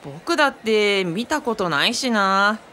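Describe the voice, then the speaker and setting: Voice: medium pitch, expressive, measured pace, very noisy, natural voice. A performer playing a casual, knowledgeable young companion who explains things kindly in a radio drama. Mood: explanatory. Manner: easygoing, explanatory.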